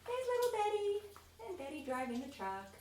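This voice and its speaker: A woman reading a children's picture book aloud, expressive and chatty, with casual asides about the pictures. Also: sits high, normal speed. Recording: echoey room, distant mic, faint noise.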